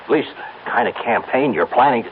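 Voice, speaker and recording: An actor playing a slangy, chatty American office colleague in an old-time radio drama. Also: male voice, medium pitch, lively intonation, normal speed, natural voice. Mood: concerned. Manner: chatty, slangy, repeating words. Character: brash, slangy office colleague, concerned and joking. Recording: thin phone-like old radio broadcast, faint noise.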